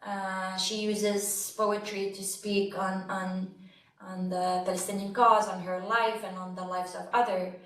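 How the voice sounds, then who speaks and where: A woman moderating a panel discussion, speaking reflectively and haltingly with many fillers. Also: medium pitch, flat delivery, a bit bright, far from the mic. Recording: echoey hall from off-mic.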